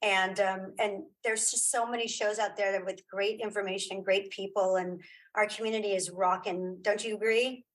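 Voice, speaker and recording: Husky woman in casual interview chat. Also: medium pitch, steady tone, moderate pace, poor audio. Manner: informal, emphatic, encouraging, repeats phrases for emphasis. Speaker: interview guest, podcaster and audio drama creator.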